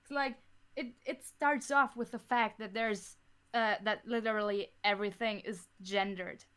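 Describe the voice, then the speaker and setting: A woman who grew up speaking German talks as a podcast guest. Her voice sits a little high, and her delivery is casual and conversational. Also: fairly even intonation, normal speed, a bit bright, clear, resonant tone. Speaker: podcast guest, audio drama creator. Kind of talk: casual chat.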